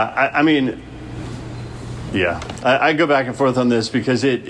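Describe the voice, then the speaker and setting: A man leading a church group discussion in a casual, halting way, with many restarts. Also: medium pitch, a bit bright, a little hiss or hum.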